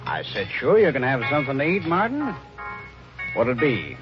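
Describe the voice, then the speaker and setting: An actor playing a sly, eerily knowing small-town counterman in a 1950s radio drama, teasing and drawn-out in delivery. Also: mid-range voice, huge pitch swings. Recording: muffled old radio recording, faint music.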